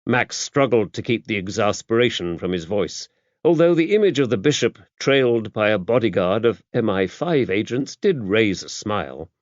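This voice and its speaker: Lowish-voiced British male audiobook narrator. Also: sing-song, moderate pace, no echo, dull audio. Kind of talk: scripted narration of a mystery novel.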